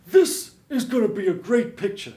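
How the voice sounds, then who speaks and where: A pushy old showman of a Hollywood agent, acted by a male reader, pitches grandly in a raised, brash voice. It is noir storytelling in a roomy space. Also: big pitch swings, moderate pace, mellow. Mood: hyping. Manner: brash, grandiose sales patter. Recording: roomy stage sound.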